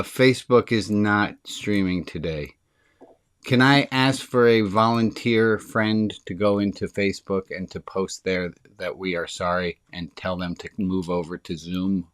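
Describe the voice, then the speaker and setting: A man speaking as a rabbi on a video call, leading an online group in a casual, chatty way with a deep, resonant voice. He talks eagerly and quickly, and he says his excitement speeds him up.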